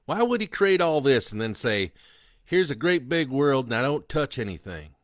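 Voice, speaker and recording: A man's voice: mid-range voice, sing-song, moderate pace, dry recording, clean studio sound, voice pushed up, close to an everyday voice. A man voicing a crude, gloating male killer on death row who mocks a priest. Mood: preaching. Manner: taunting, vulgar, mocking.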